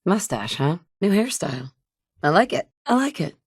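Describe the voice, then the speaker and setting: A woman's voice: fairly low pitch, very animated, normal speed, breathy edge, dry recording. A woman playing a determined young mother surviving in a wasteland, with earnest, dry, conversational delivery in video game dialogue. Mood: warm, teasing. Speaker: video game player character.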